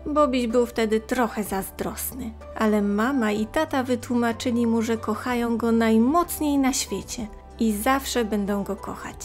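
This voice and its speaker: A woman narrating a scripted story for young children in a gentle, expressive, clearly phrased way. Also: on the high side, unhurried, faint music behind.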